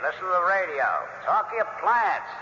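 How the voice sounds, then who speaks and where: A man's voice: high-pitched, lively intonation, normal speed, heavily acted. A man with an American accent playing a brusque, emphatic husband in a classic radio drama. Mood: dismissive, irritated. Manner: sharp, emphatic. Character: brusque, dismissive husband, panicking when attacked. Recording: dull, noisy old radio recording.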